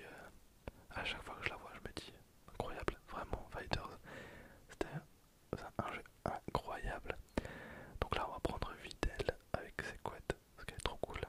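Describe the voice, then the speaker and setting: ASMR game streamer: fully whispered, soft, casual delivery, thinking aloud while playing. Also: very high-pitched, vocal fry. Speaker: ASMR gaming video creator. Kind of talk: whispered ASMR gameplay commentary.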